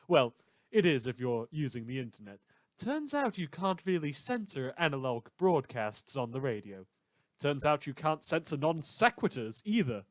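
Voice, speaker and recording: A man acting as a sardonic radio host delivers satirical news in a fan radio drama. His delivery swings widely in pitch, with theatrical emphasis and rambling, hesitant asides, all recorded close and dry. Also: very animated, moderate pace, dry recording. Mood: wry, mocking. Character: sardonic, irreverent male radio news host, gleefully approving of villainy.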